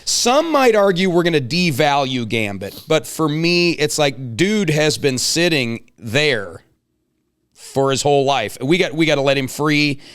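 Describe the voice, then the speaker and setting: A man's voice: on the high side, lively intonation, a little slow, a bit bright, clear, resonant tone. A man in his forties hosting a casual interview, talking informally with slang and rambling asides. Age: 40s. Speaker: host.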